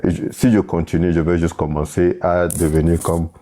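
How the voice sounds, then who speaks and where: Young togolese guy, really deep soft-edged voice, heartfelt casual talk w bits of english. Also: flat delivery, normal speed. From Togo. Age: young adult. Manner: earnest, mixes in English connectors. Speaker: participant and moderator in a live online panel.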